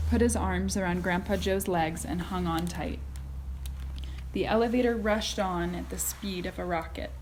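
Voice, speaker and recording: A woman's voice: medium pitch, a little mellow, a little hiss or hum, rough recording. A woman teacher narrating a children's story expressively, in read-aloud style.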